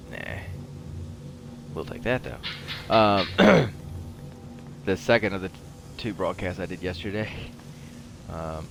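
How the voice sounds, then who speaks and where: A man giving casual commentary as he plays a video game, rambling and halting as he goes. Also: low-pitched, noisy background. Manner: rambling, halting, talks while playing. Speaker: host of a gaming show.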